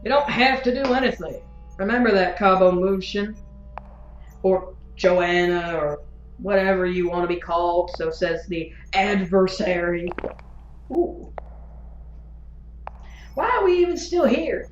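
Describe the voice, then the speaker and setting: A woman's voice: mid-range voice, unhurried, long pauses, slight background noise, close to an everyday voice. Woman acting a flippant, needling cynic, muffled and roomy. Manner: flippant, teasing, prodding. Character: bored, needling, cynical hired war commentator. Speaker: actor in an audio drama. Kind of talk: audio drama acting.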